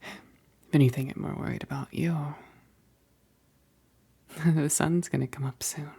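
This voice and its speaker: A woman acts out a female vampire best friend for an intimate ASMR roleplay. Her voice is a low, breathy whisper with a gravelly crackle. She speaks slowly and hesitantly, affectionate and teasing, with a gentle wistfulness underneath. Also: fairly even intonation, heavily acted. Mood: warm, relaxed. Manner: slow, hesitant, punctuated with soft chuckles.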